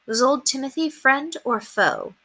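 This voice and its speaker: Bright, animated woman reading aloud. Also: sits high, a little slow, vocal fry, rough recording. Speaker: read-aloud storyteller. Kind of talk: expressive children's book narration.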